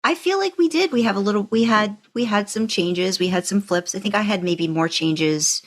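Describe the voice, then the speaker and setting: A woman's voice: mid-range voice, moderate pace. A woman co-hosting a podcast, talking casually and emphatically as an enthusiastic fan. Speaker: podcast co-host.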